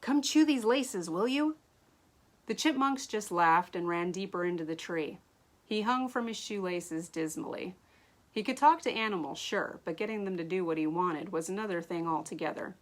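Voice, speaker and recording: A woman author reading her own story aloud in an animated way, now and then stumbling and rereading. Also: lowish voice, moderate pace. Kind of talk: expressive read-aloud storytelling.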